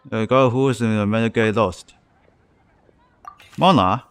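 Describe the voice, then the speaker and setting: A man doing casual let's play commentary on a live stream, talking in short, halting phrases. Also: fairly low pitch, clean tone.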